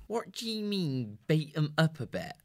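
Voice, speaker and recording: A man's voice: on the high side, sing-song, pitched above the performer's own voice. A man voicing a small, timid young male creature who speaks with a tremble. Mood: nervous. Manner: trembling, hesitant, slow. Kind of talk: audiobook character voice.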